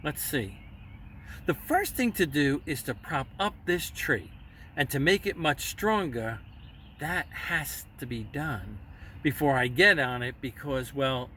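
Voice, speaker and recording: Man playing a huge faithful storybook elephant, gentle, earnest, deliberate, expressive pitch, faint background noise. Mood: musing.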